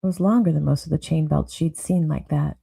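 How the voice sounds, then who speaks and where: A woman narrating a noir audio drama with brooding, deliberate storytelling. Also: low-pitched, fairly even intonation, creaky, warm tone, breathy edge, no echo.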